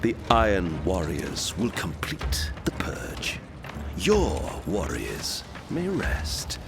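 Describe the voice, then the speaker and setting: An actor playing a cold, proud, iron-hard warlord in an audio drama, deliberate and dismissive. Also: male voice, low voice, huge pitch swings, gravelly, over music, heavily acted. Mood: imperious. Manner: deliberate, imperious.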